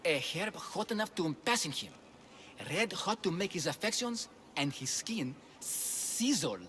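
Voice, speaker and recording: Melodramatic video game wrestler in love, swooning, high-pitched, wildly animated delivery, theatrical. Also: male voice, a little slow, in a large hall, slight background noise, exaggerated voice. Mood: lovestruck, dreamy. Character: lovesick, melodramatic male Greek wrestler scheming for love. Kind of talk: video game cutscene acting.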